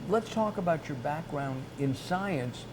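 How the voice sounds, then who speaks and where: A man hosting an interview and asking conversational questions in halting, stop-and-start phrases. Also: on the high side, lively intonation, noisy background. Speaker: interviewer and series host.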